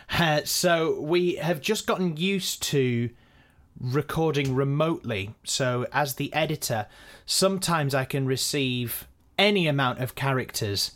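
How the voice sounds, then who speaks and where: A man with an average-pitched voice narrates a behind-the-scenes account. He sounds conversational and measured, like a director explaining his process to listeners. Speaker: podcast director and editor.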